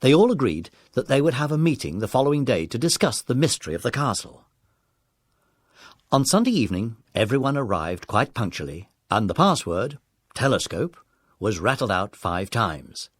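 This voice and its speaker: A man narrates a children's story from a script. His pitch sits in the middle and moves in an animated way, and the sound is close and dry. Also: moderate pace, dry recording.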